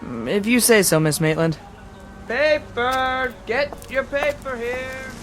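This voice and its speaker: An actor playing a glum street newspaper vendor with drawn-out hawking cries and dour remarks in a radio drama. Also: male voice, very high voice, expressive, music in the background, exaggerated voice.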